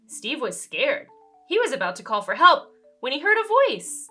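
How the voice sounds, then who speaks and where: A woman reading a children's storybook aloud in an expressive way, speaking directly to young listeners. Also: high-pitched, moderate pace, faint music behind. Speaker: children's read-aloud host. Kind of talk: animated children's storybook narration.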